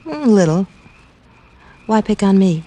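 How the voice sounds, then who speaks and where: An actress playing a cautious, alluring carnival dancer in an old radio drama, soft and hesitant, on a noisy vintage recording. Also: female voice, medium pitch, very animated, measured pace. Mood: coy, cautious. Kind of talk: 1950s radio drama acting.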